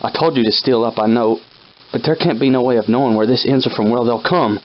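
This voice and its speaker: Guy doing a folksy old timey soldier sidekick for a video game, mid pitched, plucky but rattled, kinda muffled lofi audio. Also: fast talker, lightly acted. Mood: despairing. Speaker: game character companion. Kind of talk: scripted video game character acting, old-time dialect.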